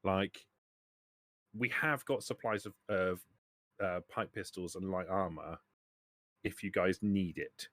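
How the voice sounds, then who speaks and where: A man acting as game master, narrating and bargaining in a halting way that repeats words. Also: sits low, a bit bright, no echo, studio quality. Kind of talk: improvised tabletop game narration and negotiation in a trader's role.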